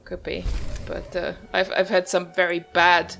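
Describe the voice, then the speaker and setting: Casting: female gaming host, low creaky voice, musing casual commentary over game music. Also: completely flat delivery, a little slow, fairly bright tone.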